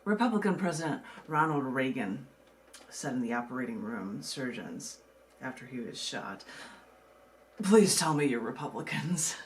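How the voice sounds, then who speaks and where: A woman poet from the United States reading her own work and talking casually about it, in a measured way with dramatic pauses. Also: a bass voice, lively intonation, a little hiss or hum. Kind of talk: poetry reading with casual asides.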